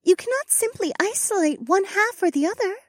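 A woman playing a formal, precise, matter-of-fact female character with a high voice in a fantasy audiobook. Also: lively intonation, a little fast, no room sound, clean studio sound. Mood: correcting.

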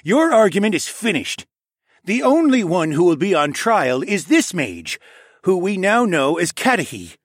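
Man as a forceful king, raised pitch. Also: sing-song, a little slow, dry recording, studio quality, exaggerated voice. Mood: curt, angry. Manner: booming, curt, authoritative. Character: forceful, commanding male demi-human king, short-tempered. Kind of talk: audiobook character acting.

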